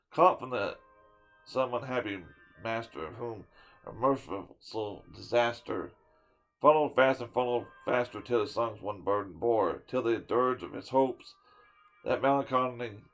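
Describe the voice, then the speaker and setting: A man giving a dramatic reading of a poem, swinging from loud declaiming to hushed whispers and sometimes tripping over a word. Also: fairly low pitch, low-quality recording. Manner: stumbles and restarts words now and then. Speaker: storyteller reading a poem aloud.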